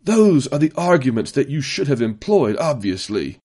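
A man's voice: medium pitch, very animated, moderate pace, darkish tone, breathy edge, pitched above the performer's own voice. A man voicing a gaunt, haughty imperial inquisitor in an audiobook, speaking with intense, imperious emphasis. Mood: indignant.

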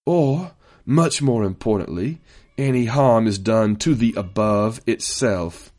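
A man's voice: mid-range voice, lively intonation, measured pace, darkish tone. A man voicing a gaunt, haughty imperial inquisitor in an audiobook, speaking with intense, imperious emphasis. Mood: threatening.

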